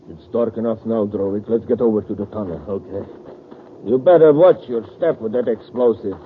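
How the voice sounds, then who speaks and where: A man's voice: on the low side, normal speed, natural voice. An actor playing a vengeful, scheming male saboteur ringleader, curt and commanding, in an old-time radio drama. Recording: tinny, faintly hissy old radio recording.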